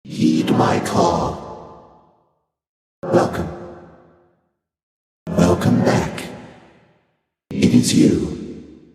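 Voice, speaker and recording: An actor voicing an ancient, aloof primordial deity in a video game, with slow, solemn, formal phrasing and a hushed, breathy, otherworldly processed voice. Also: male voice, faint music behind. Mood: detached, solemn. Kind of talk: scripted game voice lines, formal and archaic. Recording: long cavernous reverb, echoing.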